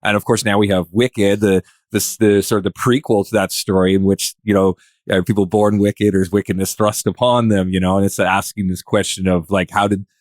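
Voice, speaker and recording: A man's voice: on the low side, flat delivery, a little fast, a little mellow, no room sound, clean studio sound. A man hosting a podcast in a casual conversational style, thinking aloud and musing his way into questions. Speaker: podcast host.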